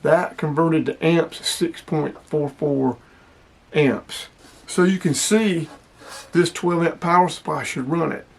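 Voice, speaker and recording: A man speaks in a voice pitched a little higher than average. He sounds like a hobbyist vlogger walking through a product demonstration. His delivery is casual, plainspoken and explanatory. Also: takes it slowly, creaky, breathy edge.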